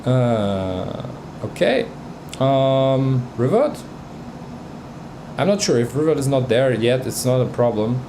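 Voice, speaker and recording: Male streamer, native Bosnian speaker, casual and hesitant, slow delivery, noticeable hum behind him. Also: mid-range voice, big pitch swings. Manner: hesitant, many fillers and restarts. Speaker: livestream host reviewing music tracks. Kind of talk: casual livestream chat.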